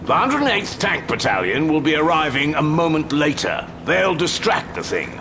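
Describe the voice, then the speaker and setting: An actor playing a pompous, unflappable older British intelligence chief with a gravelly voice and droll understatement, in an audio drama. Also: male voice, fairly high pitch, wildly swooping pitch, normal speed, a crowd in the background. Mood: brisk, droll.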